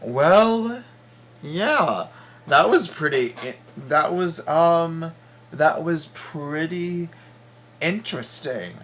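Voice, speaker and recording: A very tired man giving casual reaction commentary, halting and rambling as he repeats his words. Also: on the high side, lively intonation, pushed and bright, a little hiss or hum. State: very tired, half asleep. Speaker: video host reacting to game voice lines.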